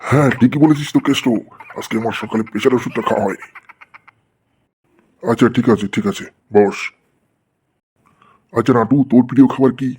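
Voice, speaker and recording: A man voicing an excitable, gullible, quick-tempered schoolteacher in a comedy cartoon, exclaiming and teasing. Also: medium pitch, breathy edge, natural voice. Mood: calming down. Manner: exclaiming, teasing, addresses pupils with mock affection. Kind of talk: Bengali comedy cartoon voice acting.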